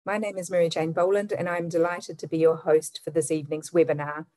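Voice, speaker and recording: A middle-aged woman with a New Zealand accent hosting a webinar over a video call, reading a formal, measured introduction. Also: low-pitched, little pitch movement, a little mellow, dry recording. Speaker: webinar host.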